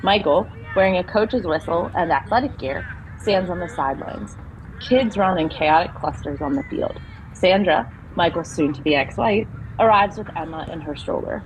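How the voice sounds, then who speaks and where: A woman's voice: fairly low pitch, animated, normal speed, slight background noise, rough recording. A woman narrator reading stage directions for an audio play as scripted narration.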